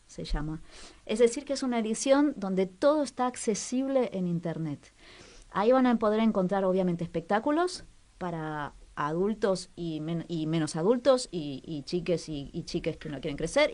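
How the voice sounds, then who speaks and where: A woman's voice: medium pitch, warm tone. Conversational woman presenting a cultural agenda. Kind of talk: casual radio chat with recommendations.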